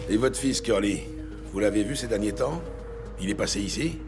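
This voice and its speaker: An actor playing a brash, foul-mouthed bullying police detective in a French audio drama, sardonic and intimidating, with a gravelly voice. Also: male voice, fairly low pitch, expressive, normal speed. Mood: pressing. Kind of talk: French audio drama acting and noir narration. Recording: loud music bed behind the voice.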